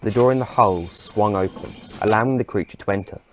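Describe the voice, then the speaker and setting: A man's voice: fairly low pitch, fairly quick, slight background noise. A man reading scripted narration for an audio adventure story.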